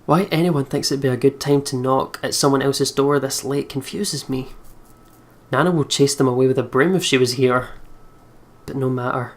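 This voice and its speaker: A man hosting a let's play, reading visual novel narration aloud in an expressive storytelling way. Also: mid-range voice, normal speed.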